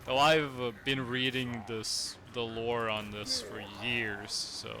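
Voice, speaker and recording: A man's voice: medium pitch, flat delivery, slow, noisy background. A young man from Norway with a Norwegian accent, chatting casually with viewers while he plays a strategy game, rambling with lots of fillers. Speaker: streamer.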